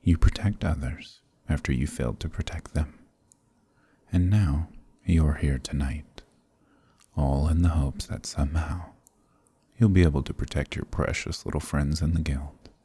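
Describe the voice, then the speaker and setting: Sadistic vampire lord, ASMR roleplay: possessive, silky menace, extremely breathy and husky, dark-toned. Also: male voice, a bass voice, heavily acted. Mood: mocking, silky. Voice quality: breathy, gravelly. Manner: slow, menacing, mockingly tender purr. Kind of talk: ASMR roleplay audio drama.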